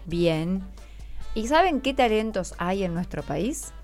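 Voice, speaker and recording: An Argentine woman hosts a radio interview in an unscripted way. Her voice sits at a middle pitch for a woman, and she prompts with short, encouraging questions. Faint music plays behind her. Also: sing-song, slow pace, darkish tone. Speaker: radio host interviewing schoolchildren.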